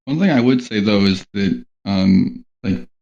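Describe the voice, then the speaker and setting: A man with a fairly low voice talks through his points in a loose, rambling way. He leans on "like" and "um" between phrases. The sound is muffled and lo-fi. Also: measured pace, creaky, darkish tone. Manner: rambling, heavy on "like" and "um" fillers. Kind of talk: casual panel chat. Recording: dull lo-fi sound.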